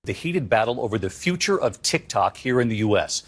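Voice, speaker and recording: A man anchoring the evening news, reading a scripted report in a measured, authoritative way. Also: medium pitch, big pitch swings. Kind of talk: scripted broadcast news read.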